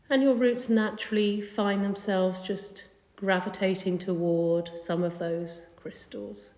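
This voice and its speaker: A woman leading a guided meditation in a gentle, soothing, unhurried manner. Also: lowish voice. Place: indoor carpeted room. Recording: echoey room with long reverb.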